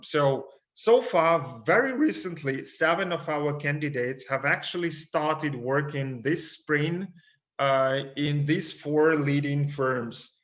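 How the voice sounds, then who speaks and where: A man's voice: on the high side, fairly bright tone. A man in his thirties, born in Ukraine, presenting a live career webinar as a consulting coach, with many fillers and restarts. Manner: fillers, restarts, urges viewers to use the chat.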